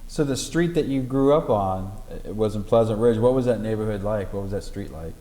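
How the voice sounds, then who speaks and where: A man's voice: lowish voice, expressive, a little fast, dark tone, in a large hall. A man conducting an oral history interview, asking friendly questions with frequent fillers. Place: library room.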